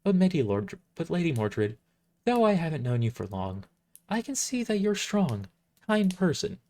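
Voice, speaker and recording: A man voicing a gentle, kind, big-sisterly woman healer with a caring manner in an audio drama. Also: fairly high pitch, sing-song, normal speed, voice pushed up. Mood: gentle, earnest. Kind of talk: amateur audio drama character reading.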